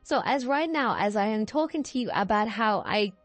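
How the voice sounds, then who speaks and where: A woman's voice: on the high side, expressive, moderate pace, no echo. A young woman giving a casual, rambling voiceover as a game builder, drifting off on tangents and using lots of fillers. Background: faint background music.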